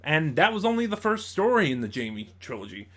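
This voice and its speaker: A man hosting a casual review, talking conversationally and haltingly as he thinks aloud. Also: a little high, big pitch swings, fairly bright tone. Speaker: YouTube reviewer, host. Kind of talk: casual audio drama review commentary.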